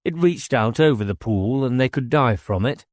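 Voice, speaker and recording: A man's voice: mid-range voice, animated, moderate pace, no echo, studio quality. A man reading a novel aloud as scripted audiobook narration.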